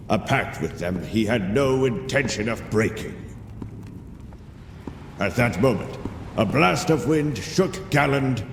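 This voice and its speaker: An actor playing a wise, gentle storyteller, narrating a legend in a measured, solemn and tender manner for a video game. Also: male voice, medium pitch, gravelly, a little hiss or hum. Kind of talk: scripted fairy-tale narration.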